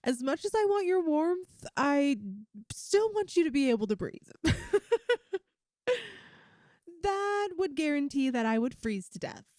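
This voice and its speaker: Woman voicing a high-pitched playful lamia girl. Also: animated, moderate pace, darkish tone, no echo, studio quality. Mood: playful, needy. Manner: teasing, chatty, giggly. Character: playful affectionate young lamia, cold-blooded best friend. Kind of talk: ASMR roleplay, one-sided intimate conversation.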